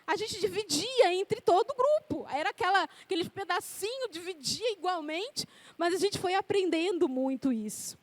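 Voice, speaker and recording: A woman's voice: very high-pitched, lively intonation, normal speed, no room sound. A woman in her forties preaching a sermon, reading scripture and then telling personal stories in a conversational way. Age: 40s.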